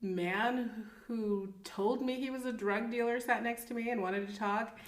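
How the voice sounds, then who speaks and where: Animated woman in a roomy space. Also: on the high side, a little slow. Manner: animated storytelling, laughs often. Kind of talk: casual conversation. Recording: roomy echo.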